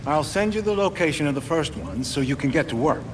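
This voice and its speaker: A man's voice: a little high, expressive, fairly quick, noisy background, natural voice. A man playing a wry, avuncular older inventor and mentor in a video game, with a folksy, instructive manner. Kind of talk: cinematic video game acting.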